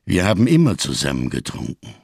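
A ghostly, unbowed giant of a fugitive in a radio crime drama. The voice is extremely deep, creaky and dry, with slow, elaborate, over-careful phrasing and a grave temper. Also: male voice, wildly swooping pitch, no echo, heavily acted. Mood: solemn, wry.

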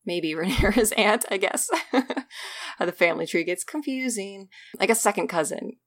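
A woman's voice: medium pitch, expressive, moderate pace. A woman co-hosting a podcast, talking casually about a fantasy book series, laughing often and trailing off mid-sentence. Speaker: podcast co-host.

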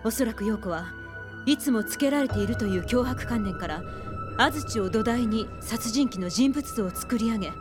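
A composed psychologist, played by a woman, speaking in a slightly high voice with measured, explanatory phrasing. Faint music sits behind her in this radio drama. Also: close to an everyday voice. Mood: explaining. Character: calm analytical female psychologist.

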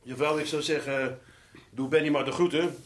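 A man playing a gruff, fed-up older working-class husband in a Dutch radio drama, talking in a blunt, colloquial, dismissive way. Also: a little high, steady tone, normal speed, natural voice.

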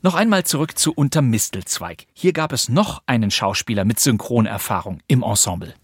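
A man's voice: mid-range voice, lively intonation, fairly quick, husky, no echo. A man hosting a podcast with scripted but conversational narration, recorded close in a studio. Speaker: podcast host. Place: dry studio.

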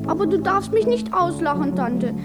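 A young girl in a radio drama, her voice extremely high. She is shy, anxious and hesitant, a devoted child. Prominent guitar music plays along with her. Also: animated. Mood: anxious, confiding. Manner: hesitant, halting. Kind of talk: German radio drama acting. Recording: radio play with loud plucked guitar music.

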